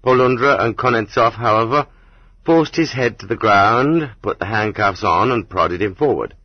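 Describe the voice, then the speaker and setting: A man reading an audiobook as scripted literary narration, in a measured, dramatic manner. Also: on the low side, husky, no room sound. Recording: slightly dull, muffled audiobook recording.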